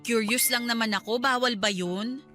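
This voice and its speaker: A woman's voice: fairly high pitch, normal speed, bright, forceful tone, soft background music. A woman playing a hurt, jealous wife in a Tagalog radio drama, nagging and pleading with emotion. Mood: irritated, suspicious. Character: hurt, jealous young wife confronting her husband.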